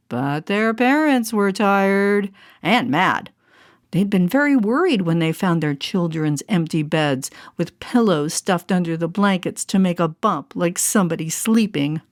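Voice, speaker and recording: A woman storyteller narrating a storybook with expressive, deliberate phrasing. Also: medium pitch. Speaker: storyteller and voice artist. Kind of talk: scripted storybook narration for all ages. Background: music.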